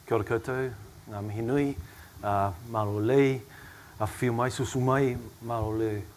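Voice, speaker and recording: A hoarse man in middle age chairs a panel, his voice worn thin by a cough. He speaks reflectively and at a measured pace, at an ordinary middle pitch for a man. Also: fairly even intonation, a little hiss or hum. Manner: reflective, measured, with soft asides and small laughs. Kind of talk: panel discussion remarks.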